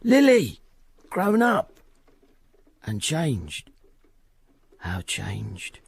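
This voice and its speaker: An actor playing a humble, timid poor father in a radio drama, hesitant and halting, repeating himself as he pleads. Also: medium pitch, wildly swooping pitch, vocal fry, breathy edge, no echo, full character voice. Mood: sorrowful wonder.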